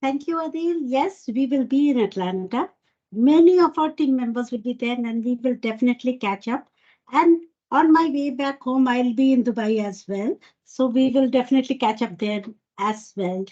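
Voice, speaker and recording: High, husky woman hosting. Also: moderate pace, warm tone. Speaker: event host. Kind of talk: introducing speakers at an online event. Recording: muffled lo-fi video call.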